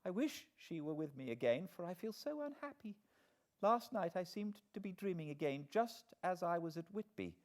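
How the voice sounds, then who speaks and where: Man voicing a frail, high-pitched anxious young woman. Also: sing-song, normal speed, a little mellow. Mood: fearful, unhappy. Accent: British. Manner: weary, plaintive. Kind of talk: dramatised audiobook diary reading.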